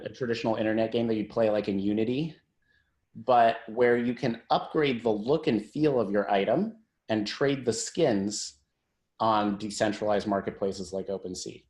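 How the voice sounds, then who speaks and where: A man's voice: lowish voice, a little mellow, roomy sound. A man who works as a tech executive, giving an informal presentation on a conference call.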